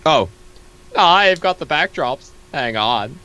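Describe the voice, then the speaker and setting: A man's voice: on the high side, lively intonation, slow, bright, forceful tone. A man co-hosting a comic reading, chatting casually and joking with plenty of swearing. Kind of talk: casual chat and comic riffing.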